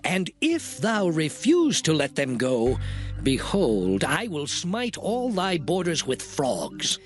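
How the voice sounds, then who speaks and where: A high, solemn prophet. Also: male voice, wildly swooping pitch, slow pace, faint music behind, exaggerated voice. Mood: proclaiming. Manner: solemn, emphatic proclamation. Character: prophet Moses, solemn adult man messenger. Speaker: Moses in a dramatized Bible reading.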